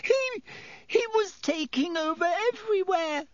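A man voicing a terrified, cowardly middle-aged man in an audiobook, with a thin squeaky voice and gasping, whining, pleading delivery. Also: very animated, extremely slow, fairly bright tone, no room sound, full character voice. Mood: tearful whining. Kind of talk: audiobook character voice.

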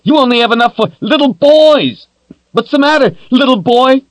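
A man voicing a defiant young woman captive who screams and mocks in a high, strained voice. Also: lively intonation, normal speed, no room sound. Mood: taunting. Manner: shrill, screaming and mocking.

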